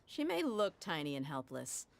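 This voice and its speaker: A woman's voice: deep voice, huge pitch swings, normal speed, creaky, full character voice. A woman voicing a tough, blunt mercenary leader in a game, talking brashly and teasingly. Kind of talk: game character dialogue.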